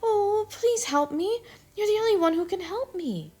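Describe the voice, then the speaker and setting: A woman's voice: very high-pitched, expressive, normal speed, a little mellow, voice pushed up. A woman voicing a small fretful lizard who pleads in a tearful, whiny way.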